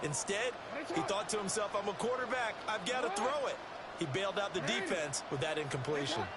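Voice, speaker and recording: A man's voice: sits high, animated, a little fast, very noisy. A man with an American accent giving chatty, folksy football analysis as a color commentator.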